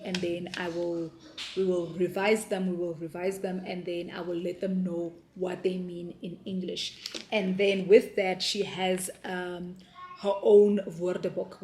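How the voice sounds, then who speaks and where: A woman in her thirties with a South African accent, talking through her homeschool plans in a relaxed, casual way. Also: on the low side, reverberant, a little hiss or hum. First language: not Afrikaans. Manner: relaxed, many ums and restarts. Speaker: homeschooling mom and vlogger. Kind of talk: casual explainer vlog.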